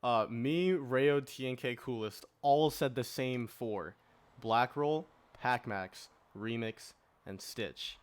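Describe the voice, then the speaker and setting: A man's voice: medium pitch, slow pace, smooth. A man hosting a casual panel discussion, talking in a jokey, slangy way. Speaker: podcast host.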